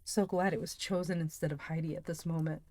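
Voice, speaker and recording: Low-voiced, expressive woman reading aloud. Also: fairly quick, no room sound. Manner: expressive, enthusiastic. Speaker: streamer reading books aloud; teacher. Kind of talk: expressive audiobook-style reading with casual livestream chat.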